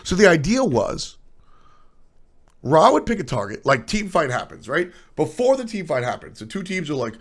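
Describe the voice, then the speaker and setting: A man's voice: on the high side, sing-song, normal speed. A man with a stuffy, nasal voice streaming casual, blunt opinions on esports, trailing off into asides.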